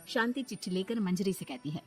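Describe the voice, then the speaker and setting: A woman narrates an animated family tale in a medium-pitched voice. The delivery is scripted storytelling, with background music running underneath. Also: fairly quick. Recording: music behind the voice.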